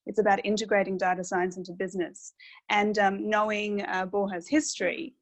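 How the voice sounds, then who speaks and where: A woman, an academic director, warmly introducing a guest with eager words and some hesitant fillers. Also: medium pitch, steady tone, fairly quick. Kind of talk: welcoming introduction.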